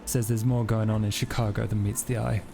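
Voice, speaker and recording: A man's voice: on the low side, level tone, fairly quick, gravelly, noisy background. A man playing a wounded, suspicious private investigator, terse and demanding, in a tabletop game. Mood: defiant.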